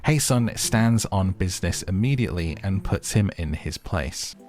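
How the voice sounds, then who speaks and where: Low voiced guy doing a wry tv recap, kinda exasperated, bit of music behind. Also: lively intonation, moderate pace, a little mellow. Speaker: recap host and reviewer. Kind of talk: scripted narration, opinionated show recap.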